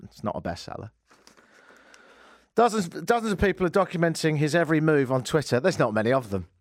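A man's voice: fairly high pitch, very animated, a little fast, no echo. A man hosting a comedic radio show, rambling through sardonic asides into a studio mic. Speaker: radio host.

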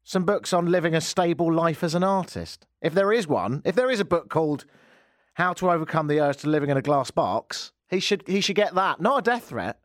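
A male radio host with a slightly raised, very animated voice, full of sardonic asides. His rambling chat sits dry and close on a studio mic. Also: on the high side, brisk, dry recording.

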